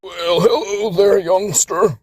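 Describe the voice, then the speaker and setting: Guy voicing an old train engine rambling about the old days, slow and pitched up. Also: steady tone, darkish tone, faint music behind. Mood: nostalgic. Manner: rambling, drawn-out, talks to himself. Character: old rambling male pioneer locomotive.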